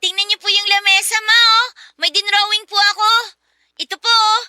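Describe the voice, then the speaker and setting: A woman's voice: very high-pitched, little pitch movement, normal speed, bright, forceful tone, full character voice. A woman playing a sweet, eager seven-year-old girl who pleads politely for attention in a radio drama. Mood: pleading. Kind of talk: Tagalog radio drama acting.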